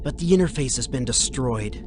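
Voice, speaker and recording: A woman's voice: rumbling low, animated, normal speed, darkish tone, slight background noise. A woman voicing a serious young adventurer in a cartoon computer game, earnest and dramatic. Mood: urgent.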